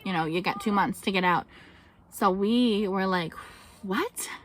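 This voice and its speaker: A woman's voice: mid-range voice, a little slow. A woman homesteading vlogger talking casually to camera in a chatty, rambling way. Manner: chatty and rambling, reads out seed names.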